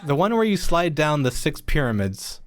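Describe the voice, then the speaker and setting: A woman's voice: low voice, sing-song, moderate pace. A woman playing a feisty, sarcastic young film actress in a screwball radio play, with a sardonic, combative manner. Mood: indignant.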